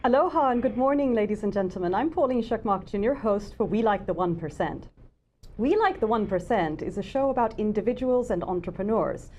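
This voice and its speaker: A woman's voice: medium pitch, animated, fairly quick, darkish tone, lo-fi sound, a little hiss or hum. A woman hosting an interview, asking polite and friendly questions. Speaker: host.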